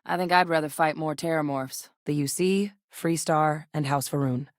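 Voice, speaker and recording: A composed female military scientist played by an actress for a video game. Her voice is low-pitched and recorded very dry. She speaks in a measured, serious way, with the earnest weight of a commander. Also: dry recording, natural voice. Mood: grave, resolute.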